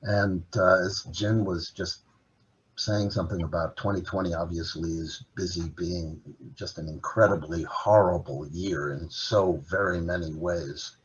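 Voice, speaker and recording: A man's voice: deep voice, steady tone, unhurried, highs cut off. A man, a native Dutch speaker, presenting his work as an author and translator in a casual talk, listing items with many filler uhs. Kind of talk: casual presentation at an online library event.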